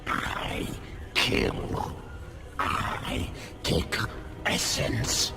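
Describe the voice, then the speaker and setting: A man voicing a menacing primal alien beast in a video game, growling out halting, broken phrases. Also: loud music behind the voice. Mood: menacing, blunt. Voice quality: gravelly, growling.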